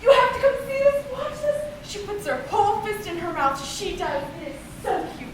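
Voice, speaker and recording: A woman playing a doting, excitable and easily exasperated mother in a stage comedy, emphatic and theatrical. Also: very high voice, normal speed. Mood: excited, coaxing. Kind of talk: projected amateur stage comedy acting. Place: stage in an echoey hall. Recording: echoey hall, distant mic, noisy.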